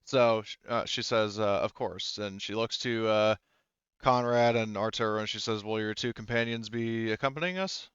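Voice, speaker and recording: A man with a low, clean and resonant voice acts as game master for a tabletop roleplaying session. He talks informally and haltingly as he narrates. The sound is dry and slightly dulled. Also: a bit bright, clean tone, no room sound, dull audio.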